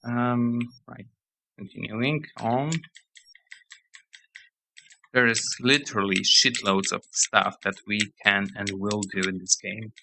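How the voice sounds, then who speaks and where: A man giving rambling let's-play commentary on a game, hoarse as he loses his voice, reading menus and game text aloud. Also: on the low side, fairly even intonation, slow, long pauses, pushed and bright, a little hiss or hum, low-quality recording. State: hoarse, losing voice. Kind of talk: casual gameplay commentary.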